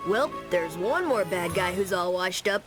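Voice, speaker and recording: A woman's voice: fairly low pitch, lively intonation, unhurried, loud music behind the voice. A woman voicing a brash, wisecracking young boy hero in a cartoon game, with a sarcastic manner. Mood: cocky.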